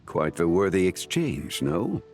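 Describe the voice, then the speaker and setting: A man voicing a composed, courteous, learned gentleman in a video game, with formal, measured phrasing. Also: deep voice, big pitch swings, soft background music, heavily acted. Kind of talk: scripted video game dialogue acting.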